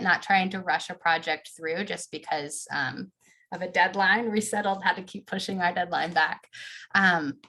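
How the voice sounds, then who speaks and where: A woman podcast producer on a discussion panel, talking through practical advice with pauses and thinking aloud. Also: mid-range voice, fairly bright tone.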